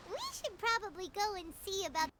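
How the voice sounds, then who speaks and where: A woman voicing a tiny floating girlish companion in a game, squeaky and childlike, eager and nosy, who speaks of herself by name. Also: a little fast. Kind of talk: game cutscene voice acting. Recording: game audio with clear background noise.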